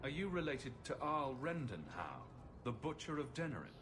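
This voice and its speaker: An actor playing a probing, businesslike champion with a direct, questioning manner in a fantasy video game. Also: male voice, medium pitch, big pitch swings, normal speed, close to an everyday voice. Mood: inquisitive. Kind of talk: fantasy game cutscene acting. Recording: noisy ambience behind dialogue.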